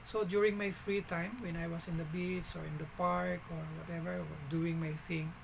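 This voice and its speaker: A man with a Filipino accent telling a story in a casual vlog, halting, with frequent restarts and fillers. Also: high-pitched, little pitch movement, creaky, a little hiss or hum, poor audio. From Philippines.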